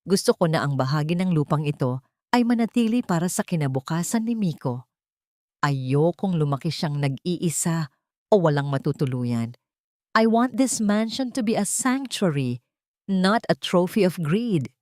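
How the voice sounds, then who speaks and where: A woman voicing a gentle, dignified older former housemaid in a storybook tale, speaking in a calm, measured way. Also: fairly low pitch, no echo, studio quality, close to an everyday voice. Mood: earnest. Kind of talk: dramatised storybook narration.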